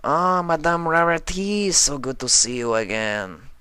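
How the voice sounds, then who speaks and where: A man's voice: a little high, expressive, measured pace, sharp tone, smooth, no echo, pitched above the performer's own voice. A man voicing an urbane, obliging male pony head waiter with a formal, deferential manner in a story reading.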